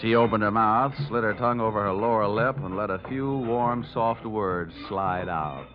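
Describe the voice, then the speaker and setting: An actor playing a wry, hard-boiled insurance investigator with an American accent and a sardonic manner, in an old radio detective drama. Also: male voice, lowish voice, moderate pace, natural voice. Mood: dry narration. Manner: wisecracking, sardonic. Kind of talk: 1940s-50s radio detective drama, narration and dialogue. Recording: dull vintage radio recording with music.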